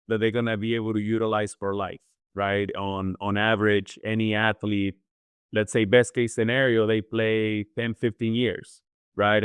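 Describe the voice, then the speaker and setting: A man from Chile with a low, clear voice and fairly flat intonation explains things as an expert podcast guest. He talks conversationally, often seeking agreement as he goes. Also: no room sound. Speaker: podcast guest, financial planner.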